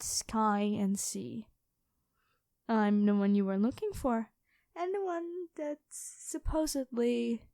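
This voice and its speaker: Dragon queen for ASMR roleplay female performer: high-pitched, imperious and teasing, very unhurried, close dry sound. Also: warm tone, dry recording. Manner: teasing, commanding, drawn-out delivery. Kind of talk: ASMR roleplay addressed to the listener.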